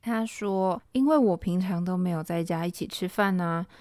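Woman playing mellow, precise young woman. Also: fairly low pitch, moderate pace, no echo, clean studio sound, close to an everyday voice. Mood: matter-of-fact. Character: precise, mock-serious young female dorm mate. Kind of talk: audio drama acting.

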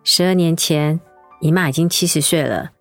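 A woman's voice: sits low, normal speed, faint music behind. An actress playing a kind, patient middle-aged mother who tells her daughter a family story and looks after an elderly aunt. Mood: storytelling. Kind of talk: radio drama acting.

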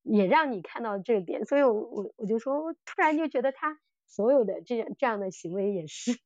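A woman's voice: fairly high pitch, animated, brisk, a little mellow, dry recording, lo-fi sound. A woman co-hosting a podcast in a casual discussion, circling back and repeating phrases as she works out her point. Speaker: podcast co-host. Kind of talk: casual discussion of audio dramas.

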